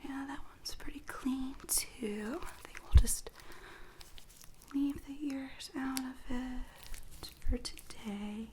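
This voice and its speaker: A woman speaking in a soft, breathy near-whisper, gently reassuring as she plays a dermatologist in an ASMR roleplay, explaining each step. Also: fairly high pitch, background noise. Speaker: ASMR performer playing a dermatologist.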